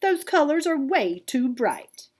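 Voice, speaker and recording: A woman's voice: high-pitched, very animated, takes it slowly, darkish tone, slight background noise, voice pushed up, heavily acted. A woman voicing a grumpy, critical toad in a picture book, blunt and complaining. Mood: disapproving.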